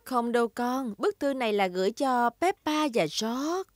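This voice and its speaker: A woman's voice: fairly high pitch, lively intonation, measured pace, dry recording, studio quality. A woman voicing a kind, patient mother pig in a children's cartoon dub. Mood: gentle, helpful. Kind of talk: animated children's show dub.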